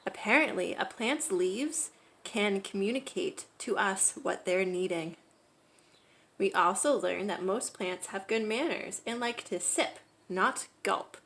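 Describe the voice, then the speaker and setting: A woman reads a picture book aloud for children. Her voice sits at a medium pitch, and she delivers the story expressively, leaning into key words like a storyteller. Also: a little slow, fairly bright tone.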